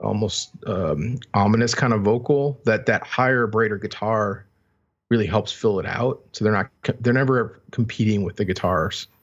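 A man's voice: on the low side, moderate pace, slightly rough. A man talking casually about music as a podcast host, on a slightly dull, lo-fi recording.

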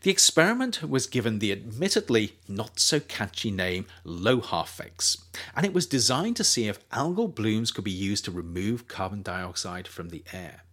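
A man narrates his own nonfiction book in an audiobook reading. His voice sits low, but his pitch rises and falls with lively, animated emphasis. He keeps a measured, steady delivery throughout. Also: husky.

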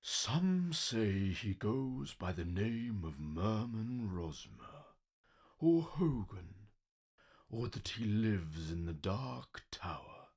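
An actor playing a grim, sage stone being in an audio play, portentous and formal in manner. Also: male voice, lowish voice, big pitch swings, slow pace, a little mellow, breathy edge, exaggerated voice. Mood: ominous. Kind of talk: theatrical audio play acting.

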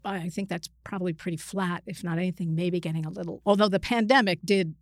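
Low-pitched woman explaining haltingly. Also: fairly even intonation, dry recording, clean studio sound. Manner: halting, explanatory, frequently self-correcting. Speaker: interview guest, psychiatrist. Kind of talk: conversational expert interview.

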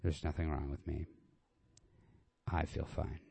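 A man voicing a weary, resigned young woman with quiet bitterness and a subdued, clipped manner in a story reading. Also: extremely low pitch, level tone, unhurried, gravelly, slightly rough, exaggerated voice. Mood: brittle calm.

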